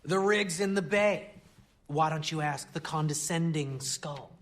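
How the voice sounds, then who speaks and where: An actor playing an unfazed, sardonic dwarf musician in a video game, with a dry, laid-back delivery. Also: male voice, a little high, measured pace, a bit bright. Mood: sardonic, unbothered.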